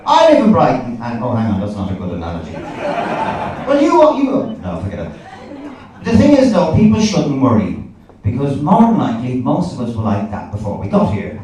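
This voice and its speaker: A man's voice: medium pitch, huge pitch swings, brisk. An Irish man performing live stand-up comedy in a conversational, sweary storytelling manner. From Ireland. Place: indoor live comedy gig. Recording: echoey, noisy live club recording from a distance.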